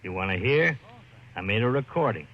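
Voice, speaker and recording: An actor playing a harried, blunt police lieutenant in an old radio crime drama. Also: male voice, on the low side, animated, fairly quick, natural voice. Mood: weary. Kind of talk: 1940s-50s radio crime acting. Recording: muffled noisy old radio recording.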